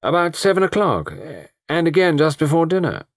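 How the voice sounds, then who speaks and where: A man's voice: fairly high pitch, big pitch swings, brisk, no echo, studio quality, pitched above the performer's own voice. A man voicing a cool, haughty young woman who answers curtly. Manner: cool, curt, clipped replies. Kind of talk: audiobook character voice.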